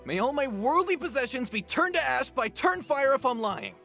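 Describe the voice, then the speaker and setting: Young thief, brash, cowardly, gravelly, exaggerated, video game villain. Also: male voice, extremely high pitch, sing-song, normal speed, sharp tone, soft background music, heavily acted. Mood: panicked. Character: brash, sneering young bandit, cowardly and whiny. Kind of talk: video game character acting.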